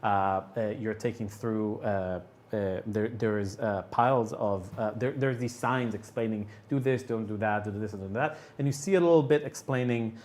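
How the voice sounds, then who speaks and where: A man improvising narration as game master of a tabletop game, speaking haltingly with many filler sounds. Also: fairly low pitch, darkish tone. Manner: halting, hesitant delivery full of filler sounds.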